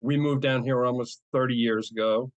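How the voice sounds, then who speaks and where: A man's voice: medium pitch, fairly even intonation, moderate pace, dry recording, muffled recording. A middle-aged man from New England with a Boston accent, a podcast guest talking casually and eagerly, with lots of ums and restarts. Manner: rambling and enthusiastic, many ums and restarts. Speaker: guest, cyber insurance advisor.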